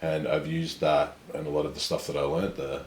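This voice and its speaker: Young man, very low voice, self-assured storyteller, roomy sound. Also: little pitch movement, a little fast, slight background noise. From Australia. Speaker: guest. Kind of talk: casual conversation. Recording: echoey room with faint ambience.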